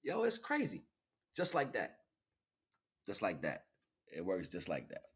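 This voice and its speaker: A man giving a rambling, informal spiritual monologue, preaching in an animated way with repeated phrases and slangy check-ins with his listeners. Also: low-pitched, a little slow, slightly rough. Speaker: spirituality vlogger, livestream host.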